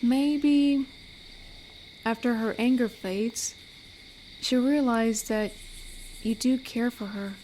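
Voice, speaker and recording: A woman voicing an amiable, protective, lonely female werewolf in an intimate audio roleplay, speaking soothingly and reflectively with pauses. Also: fairly high pitch, dark tone, slight background noise, low-quality recording. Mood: comforting, sincere. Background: night forest ambience with crickets.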